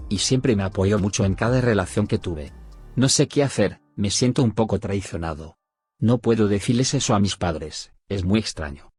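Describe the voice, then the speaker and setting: A man reading a first-person forum story aloud in a steady, even storytelling manner. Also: deep voice, breathy edge. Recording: faint background music.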